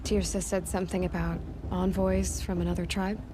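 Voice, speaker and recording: A woman's voice: on the low side, little pitch movement, normal speed, noisy background, close to an everyday voice. Woman playing a blunt, curious young huntress. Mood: curious, grateful. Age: young adult. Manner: direct, inquisitive. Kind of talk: video game cutscene acting.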